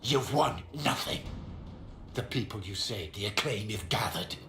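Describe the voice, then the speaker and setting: Slow, bitter fallen mage lord. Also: male voice, on the low side, expressive, music in the background. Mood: bitter, defiant. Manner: grave, bitter, deliberate. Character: defeated, bitter former magister, proud and resigned. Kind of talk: video game character acting. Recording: echoing hall, clear background noise.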